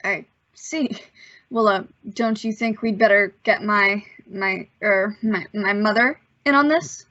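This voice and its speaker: A woman's voice: medium pitch, slow pace, fairly bright tone, dry recording, highs cut off. A woman playing an earnest, plucky teenage boy in an old-time radio suspense play, with a hesitant gee-whiz manner. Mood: wary, bluffing.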